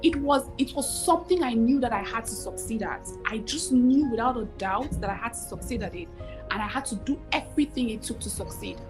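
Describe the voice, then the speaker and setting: A woman in her thirties with a Nigerian accent, telling her story as a guest over a video call, expressive and repeating phrases for emphasis. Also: fairly high pitch, a little fast, over music. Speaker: guest, cooking show host. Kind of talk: animated storytelling interview. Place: home room with curtains, video call.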